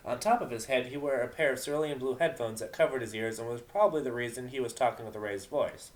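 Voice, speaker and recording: A man's voice: medium pitch, quick pace. A man reading a story aloud as narrator, in a dramatic narration style. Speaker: narrator of a fan fiction reading.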